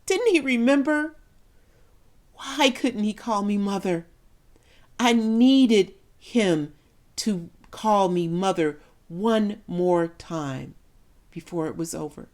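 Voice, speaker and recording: A woman performing a dramatic monologue as a grieving, resentful middle-aged mother, with deliberate, emotive delivery and heavy pauses. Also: medium pitch, expressive, darkish tone. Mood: pleading, anguished.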